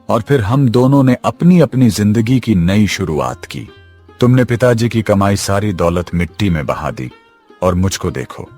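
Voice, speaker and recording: A man's voice: low-pitched, darkish tone, exaggerated voice. A man playing a boastful, spiteful younger brother and village chief in a cartoon, haughty and taunting. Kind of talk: Hindi cartoon story acting. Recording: music behind the voice. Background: music.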